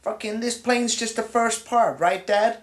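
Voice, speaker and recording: An actor playing a brash, crude young American man who is the president's son, talking loud, profane and full of swagger. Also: high voice, measured pace, far from the mic. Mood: ranting. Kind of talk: mockumentary comedy acting. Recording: roomy echo, off-mic.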